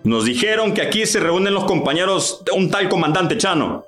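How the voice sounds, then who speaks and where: A man's voice: sits high, animated, brisk, fairly bright tone, soft background music. A man playing a brutal, foul-mouthed, menacing army captain in a radio drama. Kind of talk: amateur radio drama acting. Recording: roomy, echoing voice track.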